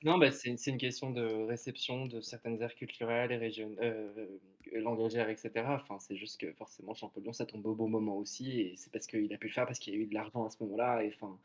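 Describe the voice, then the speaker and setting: A man's voice: fairly low pitch, steady tone. A man co-hosting a casual book podcast, joking and chuckling as he talks. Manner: quick, joking, chuckles often. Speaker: podcast co-host. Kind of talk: casual book-club chat.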